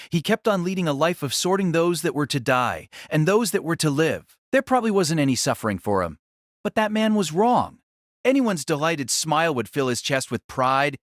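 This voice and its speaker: A man narrating a novel as a dramatic audio reading, solemn and theatrical, with measured phrasing. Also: a little high, dry recording, studio quality.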